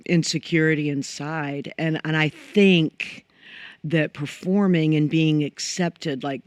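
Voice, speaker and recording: A middle-aged woman singer with a low voice, chatting as a guest in a studio interview, reflective and full of restarts. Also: fairly even intonation, slow, no echo. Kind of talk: casual conversational interview. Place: radio studio.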